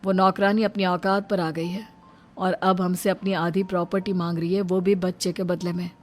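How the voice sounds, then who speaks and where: A woman's voice: on the low side, level tone, moderate pace, soft background music, lightly acted. Woman playing an earnest, troubled grown son. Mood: reproachful. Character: earnest, troubled adult son torn between mother and wife. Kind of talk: melodramatic Hindi cartoon voice acting.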